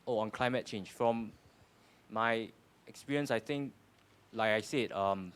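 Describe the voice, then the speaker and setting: A man's voice: on the low side, unhurried, clear, resonant tone. A man in his twenties with a Singaporean accent, answering on a panel in an earnest way, often repeating words. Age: 20s. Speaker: panel speaker.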